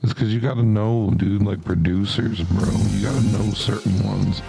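A man's voice: on the low side, fairly even intonation, normal speed, vocal fry, warm tone. A man co-hosting a casual show, rambling and swearing as he freestyles. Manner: profane, rambling. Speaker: podcast co-host. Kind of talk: casual chat, freestyle rapping. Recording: music playing behind.

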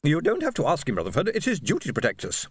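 A man plays an imperious wife in an audiobook, pitched high and swooping. She is domineering and disapproving, and she issues demands in a snapping, haughty manner. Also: huge pitch swings, racing, slightly rough, no echo, studio quality, heavily acted. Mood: snapping, demanding. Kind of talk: audiobook character voice.

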